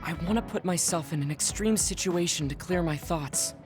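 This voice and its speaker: An actor playing a serious, formal young man in a game cutscene, speaking in a measured, polite way. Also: on the high side, close to an everyday voice. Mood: resolute. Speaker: game character. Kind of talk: dubbed game cutscene dialogue. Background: game music.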